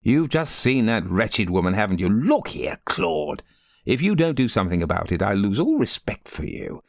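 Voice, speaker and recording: Storybook role: indignant, insistent rector's wife performed by a man, very animated and raised in pitch, dry close sound. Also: normal speed, no echo. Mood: indignant, scornful. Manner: emphatic, goading, insistent. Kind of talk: audiobook character voice.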